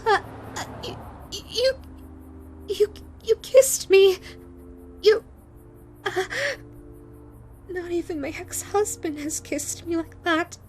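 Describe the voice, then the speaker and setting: Woman voicing a high-pitched, stammering shy ghost woman. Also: a little mellow, soft background music. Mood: flustered, startled. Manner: stammering, hesitant, halting with repeated words. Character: shy, flustered female slit-mouthed ghost, self-loathing, tender. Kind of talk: romantic audio roleplay addressed to listener.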